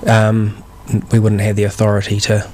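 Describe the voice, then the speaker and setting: A man, a guest on a radio show, explaining services in a measured, hesitant way. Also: fairly low pitch, completely flat delivery, darkish tone, slight background noise. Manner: measured, hesitant, repeats words. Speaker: guest from a trust organisation.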